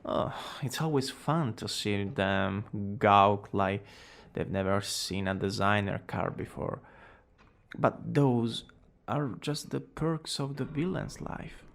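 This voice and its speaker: Guy doing a smug teasing young villain for asmr romance, slow n drawly, bit of gravel in the voice. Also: fairly low pitch, fairly bright tone, full character voice.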